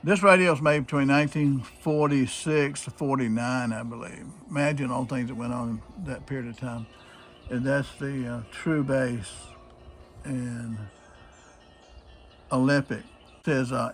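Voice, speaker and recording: A man with a husky voice gives a casual, unscripted demonstration, explaining things in a plain-spoken, halting way. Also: mid-range voice, steady tone. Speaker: presenter showing a restored old radio.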